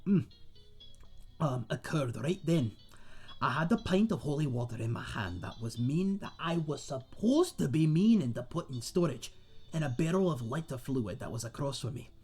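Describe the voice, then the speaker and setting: A man's voice: a little high, big pitch swings, darkish tone, breathy edge, soft background music. A man playing a friendly, rambling tavern owner and seasoned adventurer, telling tales to one listener in a folksy, chatty way in a fantasy audio roleplay. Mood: excited. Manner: chatty, folksy, dramatic pauses, calls listener mate.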